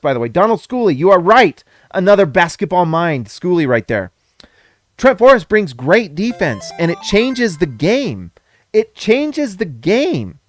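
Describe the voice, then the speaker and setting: An excitable man talking through a basketball game reaction, with a voice pitched a little high. He repeats his points for emphasis and corrects himself on the fly. His voice is getting hoarse, and it sits close and dry with no room around it. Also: no echo. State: losing his voice. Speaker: sports channel host. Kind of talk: casual postgame basketball reaction.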